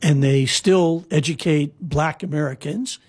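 Husky man telling stories, rambling. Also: fairly high pitch, unhurried, a little mellow. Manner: rambling, with frequent fillers and restarts. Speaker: guest storyteller. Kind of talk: casual conversational storytelling. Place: lives in Hawaii.

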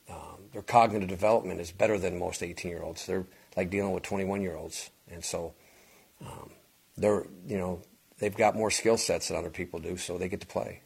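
A man, a football coach, speaking at a press conference in a halting way with many fillers. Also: sits low, gravelly, breathy edge.

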